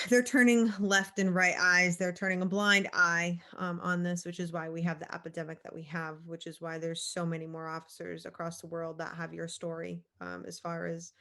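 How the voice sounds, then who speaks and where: A woman hosting a podcast who speaks with passion in a measured, steady way. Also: lowish voice, creaky. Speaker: podcast host, police officer. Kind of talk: interview podcast.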